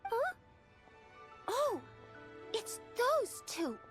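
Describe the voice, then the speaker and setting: A woman's voice: very high voice, very animated, full character voice. A woman voicing a cheeky, bossy and playful little girl with a childlike voice in an anime game. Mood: excited surprise. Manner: exclaiming, drawn-out words. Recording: music behind the voice.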